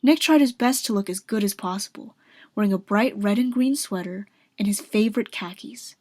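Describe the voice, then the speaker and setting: A woman's voice: mid-range voice, moderate pace, a little mellow, no echo. A woman reading scripted narration for a radio drama.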